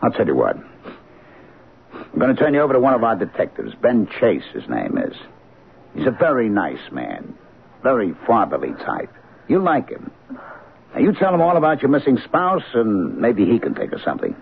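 Gravelly, animated, placating police captain. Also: male voice, on the low side, exaggerated voice. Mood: exasperated, placating. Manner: repetitive, coaxing, brisk. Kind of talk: old-time radio drama acting. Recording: tinny, hissy old radio recording.

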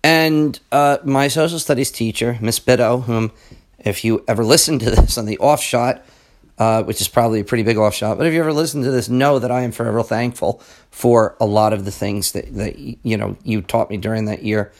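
A man with a raspier voice than usual, hosting a podcast and talking earnestly through a casual monologue full of ums and asides. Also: medium pitch, fairly even intonation, brisk. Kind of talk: casual spoken monologue on books and history.

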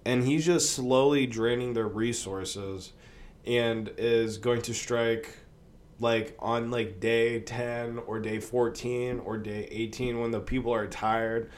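A man's voice: mid-range voice, fairly even intonation, takes it slowly. A man, sick with a cold and sniffling, talking in a rambling, profane way with many fillers on his own podcast. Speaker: podcast host. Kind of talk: casual solo podcast commentary.

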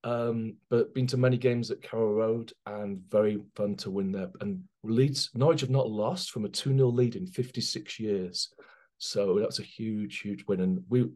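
A man's voice: on the low side, normal speed. A middle-aged man hosting a football podcast, chatting casually with frequent ums. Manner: relaxed, chatty, hesitant with ums. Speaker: podcast host.

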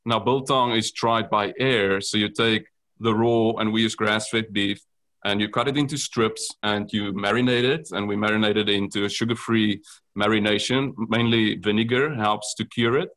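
A man's voice: on the low side, steady tone, normal speed, a bit bright. South African man explaining his business. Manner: earnest, explanatory, with frequent ums. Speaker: guest, company founder. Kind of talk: podcast interview.

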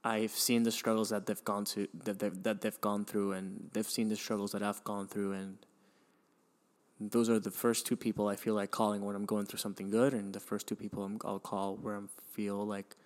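A man's voice: on the low side, little pitch movement, moderate pace. A young man from Venezuela hosting a podcast, telling his life story in a reflective, hesitant way with frequent restarts and sighs. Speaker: podcast host.

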